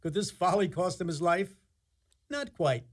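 High-pitched male storyteller, wry and theatrical. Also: expressive, measured pace. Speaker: narrator. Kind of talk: scripted radio play storytelling.

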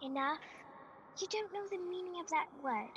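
A woman's voice: very high-pitched, expressive, moderate pace, fairly bright tone, muffled recording, a little hiss or hum. A woman playing a knowing, teasing spirit guide with an air of authority in a radio play. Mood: chiding. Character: knowing ghostly spirit guide, gently chiding.

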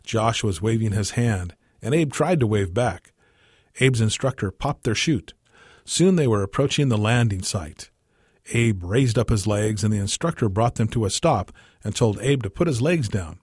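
A man with a husky voice reading a scripted short story as narrator. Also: fairly low pitch, lively intonation, normal speed, a little mellow, dry recording, studio quality. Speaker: story reader.

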